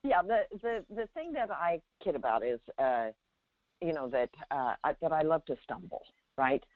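A woman guiding callers conversationally as a spiritual teacher, speaking emphatically and haltingly, heard over a telephone. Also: deep voice, sing-song, dry recording. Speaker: spiritual teacher on a call-in show. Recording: thin, narrow phone-line sound.

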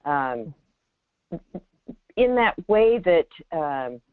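A woman's voice: deep voice, sing-song, extremely slow, clean tone, dry recording. Emphatic woman guiding callers, dull lo-fi audio. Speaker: meditation teacher taking callers. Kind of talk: spiritual guidance in a call-in conversation. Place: remote audio call.

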